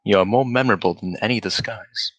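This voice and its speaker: A courteous, cerebral gentleman detective of old radio drama, acted by a man. His voice is lowish yet bright and forceful, recorded dry, with formal, restrained phrasing. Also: fast talker, dry recording. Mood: reserved, wistful. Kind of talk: adaptation of a 1940s radio drama.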